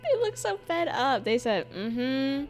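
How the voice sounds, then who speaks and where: A woman's voice: sits high, sing-song, slow pace, faint music behind. A woman streaming a game, giving casual, sarcastic commentary and laughing often.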